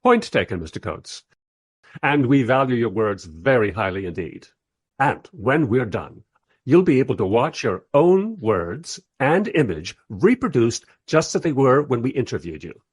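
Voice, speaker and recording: Man playing a wry, husky film director. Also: medium pitch, very animated, unhurried, dry recording. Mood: placating. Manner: conversational, dryly sardonic, diplomatic. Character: wry, long-suffering male documentary director, placating and sardonic. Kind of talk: first-person radio play narration and dialogue.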